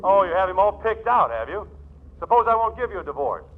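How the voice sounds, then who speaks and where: An actor playing a stubborn, wounded, lovesick husband in a 1940s radio comedy. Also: male voice, high voice, sing-song, brisk. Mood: alarmed, indignant. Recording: thin, phone-like 1940s radio recording.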